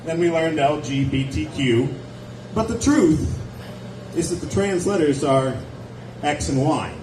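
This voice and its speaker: A man in his forties doing a stand-up set at a nightclub on a handheld mic, sardonic and chatty with the crowd. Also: on the high side. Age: 40s. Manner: sardonic, wry asides, chatty with the audience. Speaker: stand-up comedian. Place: nightclub stage, indoors. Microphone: handheld stage mic. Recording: echoey club recorded from a distance, with background noise.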